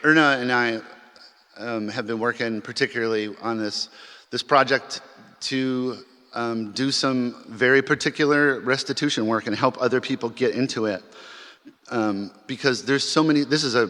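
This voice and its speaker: A man's voice: mid-range voice, a bit bright. Man telling a story in a reverberant chapel. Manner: conversational, with many restarts and fillers. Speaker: symposium speaker. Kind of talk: storytelling talk to an audience. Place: large chapel. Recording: long hall reverb.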